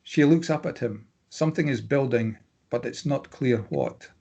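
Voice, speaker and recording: A man reading screenplay stage directions as scripted narration, plain and measured, with clipped scene headings. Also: medium pitch, dark tone, highs cut off.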